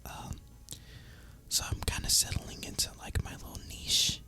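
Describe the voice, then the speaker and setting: A man whispers throughout, breathy and crisp, close to the microphone. He rambles casually like an ASMR gaming host, with an easy, relaxed delivery and a bright, hissy edge to the whisper. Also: a little slow, faint music behind.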